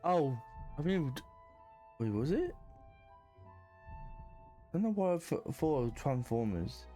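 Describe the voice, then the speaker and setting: A man's voice: fairly high pitch, very animated, takes it slowly, mellow. A man doing casual game commentary, thinking aloud in a hesitant, musing way. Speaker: gaming streamer. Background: game music.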